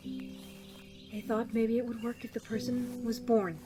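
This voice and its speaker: Actress as wry, sickly young female survivor in a zombie audio drama, measured and guarded, music underneath. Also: fairly high pitch, level tone. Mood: grim, confiding. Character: guarded, sickly young woman survivor of cruel virus experiments.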